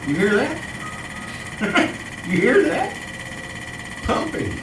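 A man's voice: very high-pitched, very animated, drawn-out words, background noise, exaggerated voice. An actor playing a sly old male murderer in a theatrical old-time radio horror style, drawing out and repeating his words. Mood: gloating, menacing. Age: older. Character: gloating old male murderer, sly, cackling, cowardly when cornered. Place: live stage performance. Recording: roomy distant stage recording, loud music.

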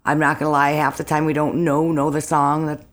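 A woman singer with a raspy voice, chatting upbeat and laughing easily as a guest on a talk show. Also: low-pitched, level tone, fairly quick. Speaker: singer and band leader, guest. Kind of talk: casual interview chat.

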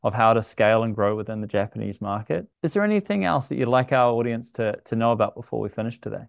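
A male podcast host with a gravelly edge under an otherwise smooth, clear tone, heard close and dry with no room sound. He affirms his guest often with brief acknowledgements. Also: lowish voice, expressive, fast talker, no echo. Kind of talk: podcast interview.

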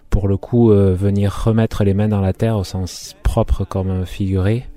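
Low, mellow-voiced man from Béarn. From Béarn, France. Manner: informal, thinks aloud with frequent hesitations. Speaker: market gardener and farm work supervisor. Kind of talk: relaxed interview talk.

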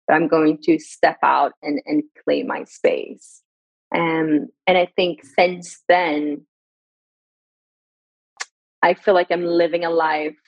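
A woman's voice: sits low, creaky, dry recording, muffled recording. A woman whose first language is Farsi, a guest on a podcast, speaking in an earnest, reflective way and often repeating her key points. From Sweden. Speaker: guest, brand director.

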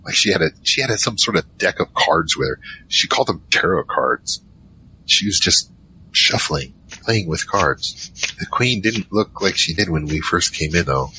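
Man playing naive young storyteller, very deep, bright. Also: animated, moderate pace, slight background noise, full character voice, poor audio. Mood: recounting, casual. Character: naive young man Michael recounting his adventures. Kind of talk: audio drama first-person narration.

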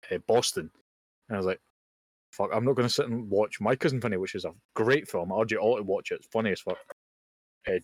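A young man co-hosting a casual podcast, talking bluntly with casual swearing and quick jokey asides. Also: on the low side, dry recording. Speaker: podcast co-host. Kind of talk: casual chat. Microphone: home mic over a voice call.

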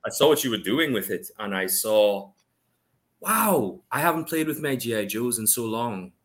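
A man's voice: medium pitch, very animated, moderate pace, slight background noise. A middle-aged man from Trinidad and Tobago with a Trinidadian accent, a podcast guest chatting casually and rambling with many restarts. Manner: rambling, full of restarts and fillers.

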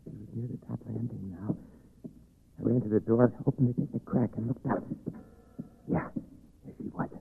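A furtive, disturbed recluse with a low, husky, creaky voice. He narrates his obsessive thoughts with brooding intensity, as in an old radio thriller, on a tinny, narrow recording. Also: fairly even intonation, moderate pace, long pauses, full character voice. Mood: yearning excitement. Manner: hushed, brooding, intense inner monologue. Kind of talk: 1940s radio drama acting, first-person narration.

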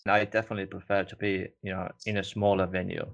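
A man from Estonia, whose first language is Estonian, hosting an interview in a relaxed way, with frequent "you know". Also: low voice, quick pace, gravelly, dull audio. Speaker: interview host. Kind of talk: casual interview.

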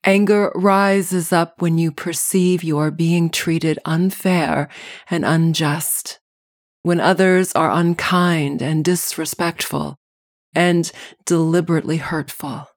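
Slow, unhurried woman guiding a meditation. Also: sits low, steady tone, no room sound, studio quality. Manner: measured, deliberate phrasing with pauses. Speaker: podcast host and meditation guide. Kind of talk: scripted guided meditation narration.

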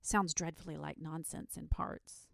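A woman's voice: low voice, fairly even intonation, a little slow, gravelly, fairly bright tone, no echo, studio quality, heavily acted. A woman voicing a curious young girl in a storybook, eager, questioning and a little sceptical. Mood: doubtful. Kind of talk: storybook character voice.

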